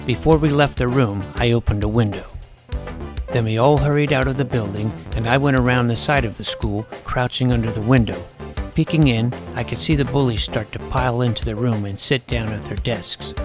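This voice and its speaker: A man reading a first-person story aloud in a wry, deadpan manner. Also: medium pitch, brisk, music in the background. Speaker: audiobook narrator. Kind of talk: first-person scripted narration.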